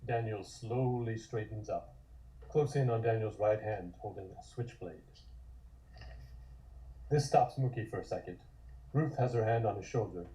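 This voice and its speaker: A man's voice: fairly low pitch, measured pace, pauses often, dark tone. A man narrating stage directions from a script at an online table read. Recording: echoey room.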